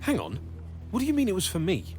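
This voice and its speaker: Flustered, animated, timid man. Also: high voice, quick pace, soft background music. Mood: wounded, apologetic. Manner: stammering, hesitant. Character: flustered, timid, apologetic male chronicler. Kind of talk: musical audio drama acting.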